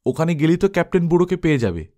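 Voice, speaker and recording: A man's voice: on the high side, sing-song, racing, darkish tone, voice pushed up. A man voicing the male leader of a band of adventurers in an audiobook, exclaiming and authoritative. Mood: alarmed.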